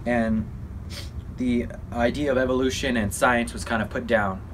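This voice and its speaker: A man giving an informal, halting explainer as a mock news report, in simple slangy terms. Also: medium pitch, steady tone, slight background noise. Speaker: presenter in a student history project.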